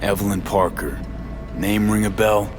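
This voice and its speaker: A man's voice: on the low side, fairly even intonation, moderate pace, close to an everyday voice. Man playing a terse, cynical American mercenary. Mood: wary, terse. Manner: terse, streetwise, wary. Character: tough, cynical male street mercenary. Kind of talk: video game voice acting. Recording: music behind the voice.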